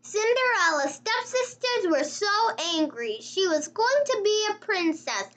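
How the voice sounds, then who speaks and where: A very high-pitched child reads a fairy tale aloud in a lively, rising and falling voice. The reading is slow and careful, one word at a time. The recording is a little dull and lo-fi. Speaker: story reader.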